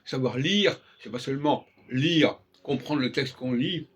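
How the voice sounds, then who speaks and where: A man's voice: medium pitch, measured pace, husky, muffled recording. An older French man in a casual spoken monologue, musing with frequent hesitations and restarted phrases. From France. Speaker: channel host.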